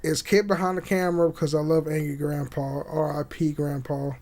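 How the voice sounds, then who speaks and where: Higher-pitched man rambling casually. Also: flat delivery, fairly quick, a little mellow. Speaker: podcast host. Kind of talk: casual rambling chat with swearing. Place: small home room indoors. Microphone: condenser mic on boom arm.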